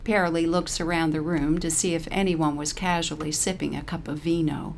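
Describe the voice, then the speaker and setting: A woman's voice: low-pitched, fairly even intonation, fairly quick, vocal fry, slight background noise. A woman narrating a scripted short story.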